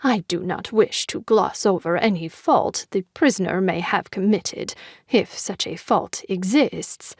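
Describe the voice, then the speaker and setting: An actress playing an earnest, conciliatory flatiron with measured speech in an audiobook. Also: female voice, mid-range voice, slightly rough, no echo, clean studio sound. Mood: earnest pleading.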